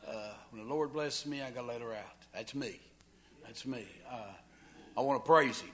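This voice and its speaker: A man's voice: medium pitch, moderate pace. A man pastor leading a church service, fervent and exclaiming, calling for testimonies and praise. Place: church sanctuary.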